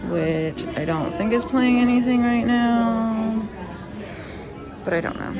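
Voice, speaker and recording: A woman's voice: a little high, steady tone, slow, over loud music. A woman walking through a cruise ship, filming and chatting as she points out each spot. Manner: chatty guide pointing things out. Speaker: vlogger giving a ship tour. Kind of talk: walking tour narration.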